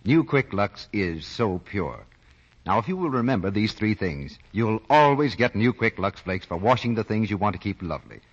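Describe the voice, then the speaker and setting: An American man announcing and pitching for a sponsor in 1940s radio style. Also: big pitch swings, moderate pace, slightly rough. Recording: muffled vintage radio broadcast.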